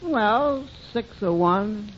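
Doubtful tavern waiter, very high voice, unhurried, wry, hesitant, 1940s radio comedy, dull old recording. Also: male voice, lively intonation, full character voice. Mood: wryly obliging. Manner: hesitant, drawn-out, wryly skeptical delivery. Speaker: comic supporting actor in a radio comedy. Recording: muffled 1940s radio recording, faint noise.

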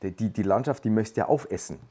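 A man from Lower Bavaria with a Bavarian accent, a podcast guest talking in an easygoing way with frequent restarts. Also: fast talker, dark tone, husky. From Lower Bavaria, Germany. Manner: easygoing, many restarts and agreeing yeahs. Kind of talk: conversational interview.

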